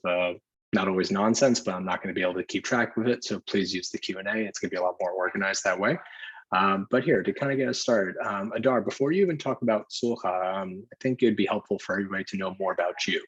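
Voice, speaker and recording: Guy moderating an online talk, really low voice, kinda husky, talks in long roundabout sentences. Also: fast, a bit bright, low-quality recording. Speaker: host of an online discussion. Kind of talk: conversational event moderating.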